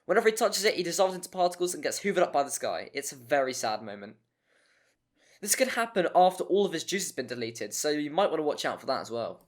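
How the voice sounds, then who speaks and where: A man's voice: a little high, very animated, fast talker. A man narrating a scripted video game review in a wry, jokey manner, given to exaggeration. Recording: voice-over with music behind.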